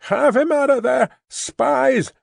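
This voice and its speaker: A man voicing rowdy, hot-headed men of a street mob in a storybook, heckling loudly. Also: very high voice, takes it slowly, vocal fry, dry recording, studio quality, voice pushed up, full character voice. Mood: heated, hostile. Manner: vociferating, heckling.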